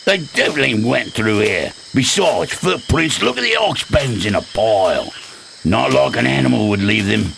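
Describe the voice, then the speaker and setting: A man playing a rough, grumbling sailor in a pirate radio drama, talking in salty colloquial seaman's speech with a gravelly voice. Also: mid-range voice, very animated, normal speed, fairly bright tone, a little hiss or hum, full character voice, rough recording. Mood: watchful.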